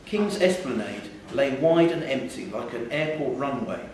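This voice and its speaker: A man's voice: medium pitch, lively intonation, normal speed, a little mellow. Guy reading a story live, really echoey, mic far away, bit of hiss. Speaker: reader of a short story. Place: theatre venue, indoors. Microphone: distant from speaker. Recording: very echoey, distant mic, faint noise.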